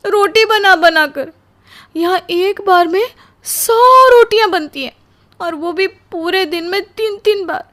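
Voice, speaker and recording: A woman's voice: extremely high pitch, unhurried, fairly bright tone, pitched above the performer's own voice. A woman playing an exhausted, aggrieved young newlywed bride in a melodramatic cartoon story, plaintive and complaining. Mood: tearful, bitter.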